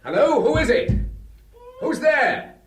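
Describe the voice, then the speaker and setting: An actor playing a bold, curious amateur sleuth who speaks briskly and eagerly. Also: male voice, very high voice, very animated, music in the background, exaggerated voice. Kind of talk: 1970s radio drama acting.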